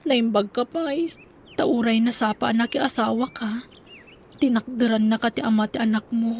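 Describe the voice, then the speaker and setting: A woman with an Ilocano accent playing a wistful, heartbroken young woman in a radio drama, hushed and sorrowful with a breathy voice. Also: fairly high pitch, steady tone, moderate pace. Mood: sorrowful, envious. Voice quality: breathy, husky.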